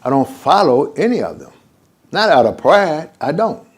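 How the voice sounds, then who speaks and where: An older man leads an informal religious class as imam. His pitch swings widely and he talks loosely, drifting between points and slipping into quick back-and-forth exchanges he plays out himself. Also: breathy edge. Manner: animated and digressive, acts out conversations.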